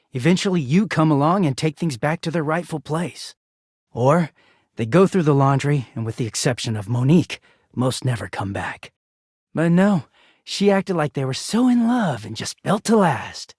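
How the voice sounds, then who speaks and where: A man's voice: fairly high pitch, sing-song, moderate pace, breathy edge, dry recording, clean studio sound. A man voicing a bitter, exasperated, sardonic, foul-mouthed young male living-laundry character in a video game. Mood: bitter, resentful. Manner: sardonic, foul-mouthed, swinging from muttering to shouting.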